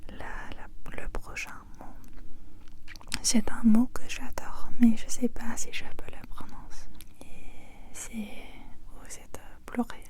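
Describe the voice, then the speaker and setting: A woman whispering ASMR-style pronunciation practice in French, a language not her first, slowly repeating words with hesitation and doubt. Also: on the high side, little pitch movement. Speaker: ASMR creator.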